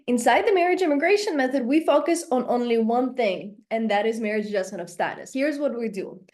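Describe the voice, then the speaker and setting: Woman host, upbeat and enthusiastic, fairly high voice, on a video call. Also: animated, fairly quick, darkish tone. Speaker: host and program founder. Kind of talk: scripted promo intro and interview questions.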